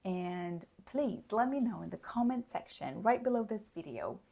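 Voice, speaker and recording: A hoarse woman giving a slow, clear English lesson, explaining words plainly and checking in with the listener. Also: mid-range voice, very animated, warm tone. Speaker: English teacher. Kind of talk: slow, clear teaching monologue.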